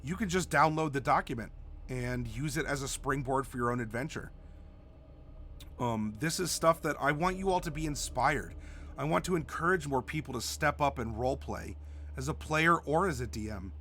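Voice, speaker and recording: A man with a cold, stuffy and a little hoarse, hosting a live stream in a rambling, casual way with many restarts. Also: medium pitch, normal speed, a bit bright, a little hiss or hum. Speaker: stream host.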